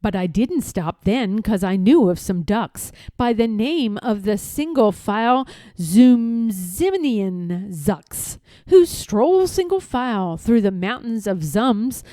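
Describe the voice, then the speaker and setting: A woman reading a rhyming children's storybook aloud in an expressive, playful way, with deliberate and emphatic phrasing. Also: on the high side, dry recording, studio quality.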